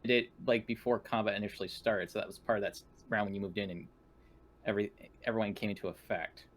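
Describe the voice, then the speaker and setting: A man's voice: fairly low pitch, steady tone, fast, a bit bright. A man playing a tabletop roleplaying game, casually talking through the rules. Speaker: tabletop RPG player.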